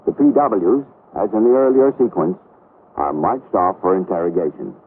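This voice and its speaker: An American man narrates a vintage military documentary in a low voice with lively rises and falls. His delivery is formal, measured and authoritative. The sound is narrow and tinny, like an aged film soundtrack. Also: slight background noise. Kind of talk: scripted 1960s documentary narration.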